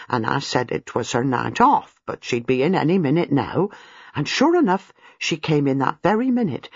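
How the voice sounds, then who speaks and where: A man's voice: on the high side, slightly rough, dry recording, muffled recording, full character voice. A man voicing a nervous, apologetic older female former servant, hesitant and deferential in an audiobook, with rambling rustic turns of phrase. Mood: gossipy recollection. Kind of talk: audiobook character dialogue.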